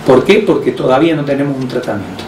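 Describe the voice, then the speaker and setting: A man with an Argentine accent, a hospital director who explains health measures on the radio in a measured way, with frequent hesitations. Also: medium pitch, expressive, darkish tone, soft background music. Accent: Argentine Spanish. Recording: roomy distant mic with faint noise.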